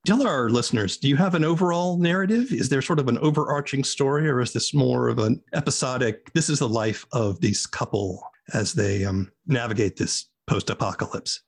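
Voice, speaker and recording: A man's voice: mid-range voice, fairly quick, gravelly, hardly any pauses, breathy edge. A man hosting a podcast, asking thoughtful, roundabout questions in a conversational way. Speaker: podcast host, interviewer. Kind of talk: conversational interviewing.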